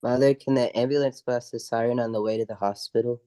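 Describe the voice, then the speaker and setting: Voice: mid-range voice, steady tone, fast talker, dark tone, close to an everyday voice. An adult performer playing a brave but scared young boy in an amateur radio drama. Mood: sheepish, eager.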